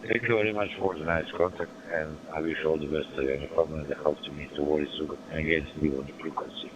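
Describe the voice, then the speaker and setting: Very deep-voiced German radio ham. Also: male voice, fairly even intonation. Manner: halting non-native English with fillers. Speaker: radio amateur. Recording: muffled noisy radio signal.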